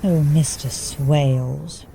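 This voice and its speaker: An actress playing a romantic, eager young gentlewoman in a radio play, speaking in a polite, gushing, theatrical way. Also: low-pitched, steady tone, slow pace, vocal fry, a little hiss or hum, heavily acted. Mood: curious, eager.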